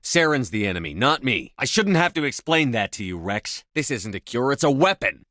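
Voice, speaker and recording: An actor playing a tough, decisive space-marine commander in a video game, speaking in a clipped, authoritative military manner. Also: male voice, medium pitch, very animated, moderate pace, bright, forceful tone, dry recording, clean studio sound. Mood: stern, forceful. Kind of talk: video game dialogue lines.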